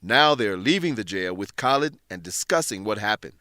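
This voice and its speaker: A man hosting and narrating a scripted radio drama for English learners, with deliberate, announcer-like enunciation. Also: lowish voice, expressive, pushed and bright, no echo, studio quality. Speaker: narrator and host.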